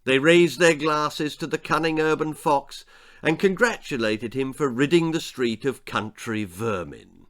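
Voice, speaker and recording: A man's voice: a little high, measured pace, fairly bright tone. An English man reading his own comic children's story aloud as a dramatic narrator who relishes each detail.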